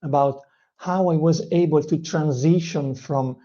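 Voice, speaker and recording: Italian-accented channel host teaching English; earnest, hesitant delivery, warm low timbre, muffled recording. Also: unhurried. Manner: earnest, with frequent "uh" hesitations and self-corrections. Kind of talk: motivational teaching talk.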